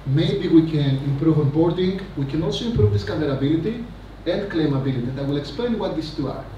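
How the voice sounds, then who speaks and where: A man giving a rambling, energetic conference talk on stage, full of fillers and asides. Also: fairly high pitch, quick pace, lo-fi sound. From Greece. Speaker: conference speaker. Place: large conference hall. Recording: echoey hall, mic from a distance, noisy.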